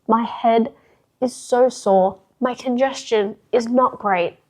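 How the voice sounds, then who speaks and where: A congested, stuffy-sounding young woman talks through a meal-prep tutorial in her kitchen at home. Her voice sits a little high, and her delivery is chatty, upbeat and frank, with the odd casual swear. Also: steady tone, slow pace, a little mellow. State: congested, sick. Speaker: fitness vlogger.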